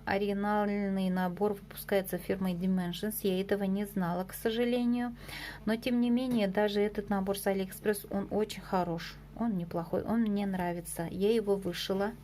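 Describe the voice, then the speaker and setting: A woman's voice: mid-range voice, mellow, a little hiss or hum. Chatty woman vlogging her embroidery. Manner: chatty, hesitant, self-deprecating, with fillers and small laughs. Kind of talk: casual show-and-tell vlog.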